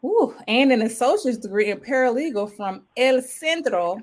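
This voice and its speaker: A woman from Ohio who hosts a casual interview show and talks in an upbeat, lively, enthusiastic way. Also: fairly high pitch, normal speed. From Cincinnati, Ohio, USA. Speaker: show host.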